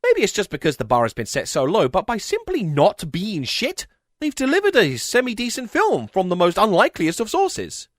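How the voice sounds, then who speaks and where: A man's voice: high voice, wildly swooping pitch, moderate pace, dry recording, studio quality. A man narrating a scripted comedic video essay as its host, with a sardonic, emphatic delivery.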